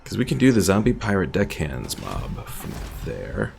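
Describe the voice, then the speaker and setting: A man's voice: low-pitched, huge pitch swings, moderate pace, vocal fry, darkish tone, a little hiss or hum. A man giving casual gameplay commentary as a streamer, musing and thinking aloud as he goes. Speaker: game streamer.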